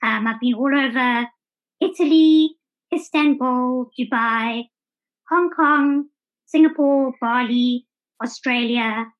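A woman's voice: sits high, slow, highs cut off. A South African woman in her thirties giving articulate, orderly answers as a guest in a conversational interview. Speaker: podcast guest.

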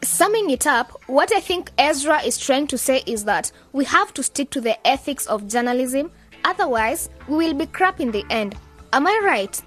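A young woman, with a high-pitched voice and a brisk manner. She is a bossy, sharp-tongued radio presenter in an audio drama. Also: animated, faint music behind. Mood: businesslike. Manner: brisk, no-nonsense, mocking.

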